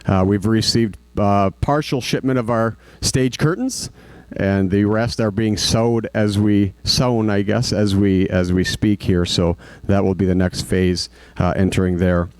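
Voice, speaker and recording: A man giving a school activities report at a board meeting, halting, with many fillers and restarts. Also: on the low side, fairly even intonation, a little mellow, no room sound. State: recovering from hoarseness.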